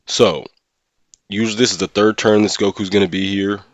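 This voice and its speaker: A man with a very deep voice hosts a casual gaming livestream. His talk is slangy and conversational, often checking in with listeners. The sound is close and dry, with very little room in it. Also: flat delivery, brisk, no echo. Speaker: streamer and host of a gaming channel.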